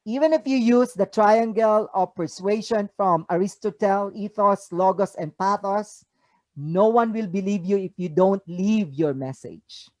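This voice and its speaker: A speaker with a Filipino accent giving an inspirational talk over a video call, asking the listeners rhetorical questions with emphatic rises. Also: male voice, high voice, measured pace, darkish tone, no room sound. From Philippines. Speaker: motivational speaker. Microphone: video call mic.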